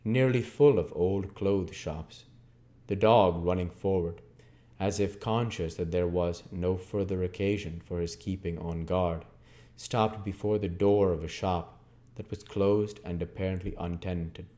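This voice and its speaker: A man reading a story aloud as channel host, in expressive narration with deliberate, measured phrasing. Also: sits low. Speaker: audiobook reader and channel host.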